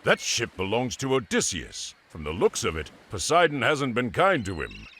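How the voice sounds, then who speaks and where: An actor playing an observant soldier companion in a video game cutscene, with a dry, wry manner. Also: male voice, medium pitch, huge pitch swings, moderate pace, noisy background.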